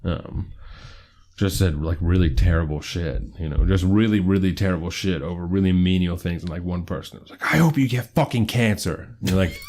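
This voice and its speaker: A man's voice: low-pitched, fairly quick, a little mellow. A man in his twenties co-hosting a podcast, telling stories casually, laughing often and swearing freely. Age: 20s. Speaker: podcast co-host. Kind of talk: casual chat.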